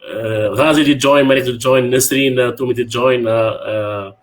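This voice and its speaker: A man who hosts a video call through a headset from a small room at home, speaking hesitantly and filling his pauses with "you know.". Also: mid-range voice, steady tone. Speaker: community organizer and host. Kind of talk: casual online talk.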